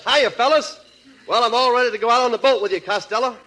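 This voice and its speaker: A man's voice: extremely high pitch, quick pace, over music, low-quality recording. A man playing a goofy, eager pal with daffy, earnest delivery in 1940s radio comedy style. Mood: cheerful, earnest. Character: goofy, eager male pal with silly logic.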